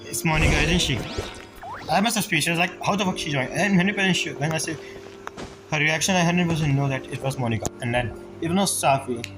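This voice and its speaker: A fast-talking man with a slightly high voice comments casually on a game. He is insistent and repeats his points, with noticeable music playing behind him. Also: rough recording. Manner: insistent, repetitive. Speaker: gamer commenting on a playthrough.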